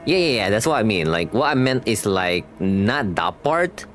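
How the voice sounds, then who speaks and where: A man's voice: fairly low pitch, big pitch swings, normal speed, a bit bright, soft background music. A young man giving casual, slangy reaction commentary as a game streamer, rambling and rephrasing as he goes.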